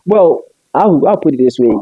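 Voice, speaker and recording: A man's voice: a little high, animated, normal speed, slightly rough, dry recording, muffled recording. A young man from Ghana whose accent mixes Ghanaian and international English, chatting casually as an interviewer and often laughing. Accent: Ghanaian mixed with international English. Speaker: interviewer and web show host.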